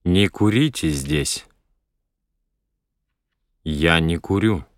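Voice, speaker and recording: A man reading Russian phonetic drill words for learners, one at a time with deliberate, measured pronunciation. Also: low voice, no room sound, studio quality. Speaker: language course announcer.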